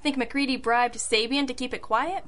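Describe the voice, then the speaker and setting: Woman playing bright, high-voiced sardonic girl detective. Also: steady tone, lightly acted. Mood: sceptical, brisk. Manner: brisk, sardonic, needling. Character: sharp, sardonic young female private detective. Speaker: detective and narrator. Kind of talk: old-time radio detective drama acting.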